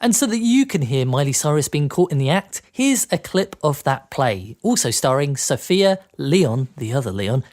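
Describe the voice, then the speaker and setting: A man's voice: a little high, very animated, normal speed, hardly any pauses, darkish tone. A man co-hosting a podcast in a scripted presenting style. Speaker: podcast co-host.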